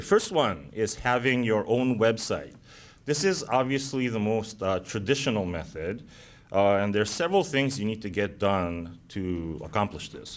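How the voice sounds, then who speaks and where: This is a man in his thirties, a native Japanese speaker with a Japanese accent, giving a seminar presentation to a room of listeners. His voice sits a little low. He speaks haltingly and fills his pauses with "uh". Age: 30s. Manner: halting, many "uh" fillers.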